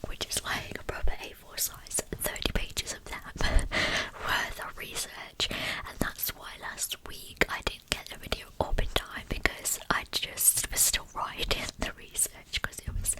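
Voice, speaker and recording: Woman doing asmr true crime, all whispered, really slow and soothing. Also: rough recording. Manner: hushed, soothing delivery meant for sleep. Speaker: ASMR channel host.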